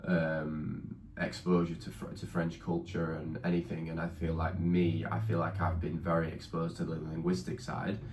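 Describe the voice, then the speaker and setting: A man's voice: extremely low pitch, monotone, moderate pace, hardly any pauses, darkish tone, a little hiss or hum. A young man from northern England chatting casually and thoughtfully, often hedging and searching for words. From northern England, near Huddersfield. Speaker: vlogger's partner. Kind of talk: casual chat. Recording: echoey room with faint ambience.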